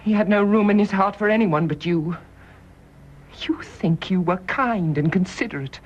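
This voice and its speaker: An actress playing a bitter, righteous British nurse in a radio drama, intense and indignant, with clipped delivery. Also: female voice, mid-range voice, normal speed, a little hiss or hum, natural voice. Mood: passionate, wounded. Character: bitter, accusing private nurse, fiercely devoted and righteous. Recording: dull, muffled radio drama recording.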